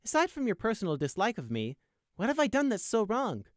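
A man's voice: sits high, wildly swooping pitch, brisk, no echo, studio quality, voice pushed up. A man voicing a small, young male pony prisoner who talks in a flippant, needling, theatrical way in a storybook reading. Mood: challenging. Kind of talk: audiobook character acting.

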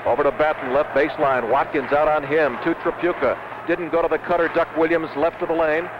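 A man's voice: a little high, fairly even intonation, fairly quick, muffled recording, noisy background. A man calling live basketball play-by-play on the radio in terse, clipped phrases, on an old 1970s broadcast recording. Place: courtside in a basketball arena.

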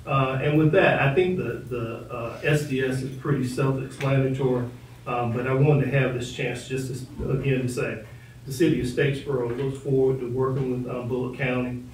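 A man speaking as a city official in a formal public meeting, diplomatic and measured, in a council chamber. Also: mid-range voice, fairly even intonation. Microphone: distant room microphone. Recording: echoey meeting room, distant mic, faint noise.

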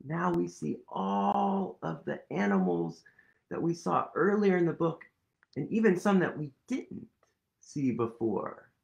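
Animated man reading aloud to children. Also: fairly high pitch, measured pace, gravelly. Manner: animated, playful, leads kids in actions. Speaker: storytime reader. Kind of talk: children's picture book read-aloud. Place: small room indoors.

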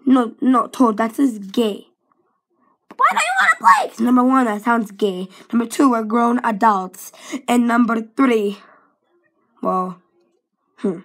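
Impatient plumber brother, done by a puppeteer, blunt and dismissive, slow lively delivery. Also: female voice, fairly high pitch, heavily acted. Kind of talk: homemade plush puppet skit.

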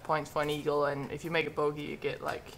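A young woman with a distinctly low voice talks casually among a group. She explains things in an informal way, with faint background noise. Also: monotone, fast. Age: young adult. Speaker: professional golfer, panel guest. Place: indoor lounge, seated around a table.

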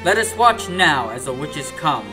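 A man's voice: a little high, very animated, measured pace. A man narrating a radio drama from a script, with theatrical storytelling delivery. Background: music.

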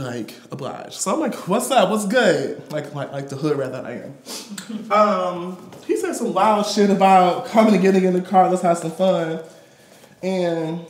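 A young American man telling candid personal stories live in a playful, sassy, slangy way as a performance artist. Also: sits high. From Detroit, USA. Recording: roomy live gallery recording.

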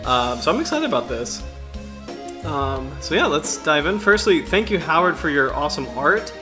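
A man in his thirties from the US Chesapeake Bay region, hosting a casual livestream in a halting, self-deprecating way, his throat slightly raspy from allergies. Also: fairly high pitch, sing-song, over music. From United States, Chesapeake Bay region. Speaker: livestream host.

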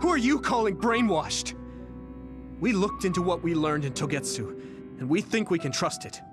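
A high-voiced, defiant young hero. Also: male voice, expressive, moderate pace. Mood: earnest. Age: young adult. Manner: earnest, indignant. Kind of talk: anime-style video game voice acting. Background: dramatic background music.

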